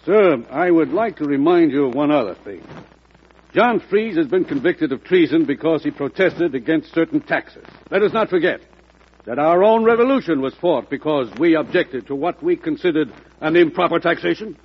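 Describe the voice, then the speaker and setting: An insistent senator in a classic radio drama. His husky, fairly high voice swings with animated, formal oratory, earnest throughout. The recording is dull. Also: normal speed. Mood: earnest pleading. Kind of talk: old-time radio drama acting.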